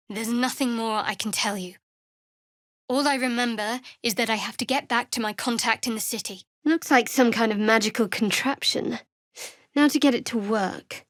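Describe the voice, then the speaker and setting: Actress doing a guarded young cleric girl for a fantasy game, highish voice, dry and sardonic, super close clean sound. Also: no room sound, natural voice. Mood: dry, reserved. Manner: measured, dry, wry delivery. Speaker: video game voice actor. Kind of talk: fantasy video game dialogue.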